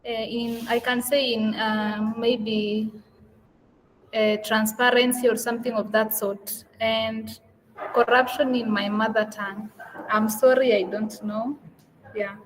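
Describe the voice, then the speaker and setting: A woman's voice: fairly high pitch, flat delivery, takes it slowly, in a large hall, slight background noise, poor audio. A young woman with a Kenyan English accent, a youth participant answering questions hesitantly, often searching for words. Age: young adult.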